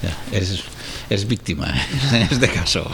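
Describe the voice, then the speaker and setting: Hombre español de voz grave, charla distendida. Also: male voice, sits low, expressive, unhurried, vocal fry, background noise. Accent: Spanish, from Spain. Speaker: podcast host. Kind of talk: casual, bantering chat.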